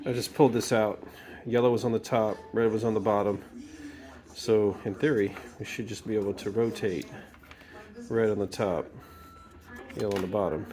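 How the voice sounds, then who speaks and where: A man's voice: on the low side, steady tone, normal speed, pauses often, crowd noise. A man casually narrating a hands-on repair, thinking aloud in an informal way as he works. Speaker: DIY repair video maker. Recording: clearly noisy home video recording.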